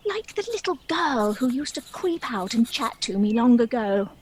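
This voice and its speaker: A woman's voice: on the high side, very animated, darkish tone, a little hiss or hum. A woman playing a chatty, self-pitying dragon who tells its own story in a wry, theatrical comic stage monologue. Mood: wistful, self-pitying. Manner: wry, theatrical, measured storytelling with arch asides.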